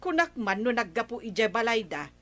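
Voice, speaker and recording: A woman's voice: a little high, very animated, normal speed, fairly bright tone. A woman acting a gossipy village wife chatting conversationally in an Ilocano radio comedy drama. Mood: curious, gossipy.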